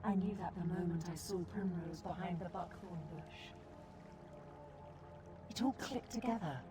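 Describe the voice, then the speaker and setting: An actress playing a secretive, tender guardian who narrates a tragic tale in a measured, solemn way. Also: female voice, fairly low pitch, over music, close to an everyday voice. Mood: resolute. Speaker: story narrator. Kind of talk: scripted dramatic narration.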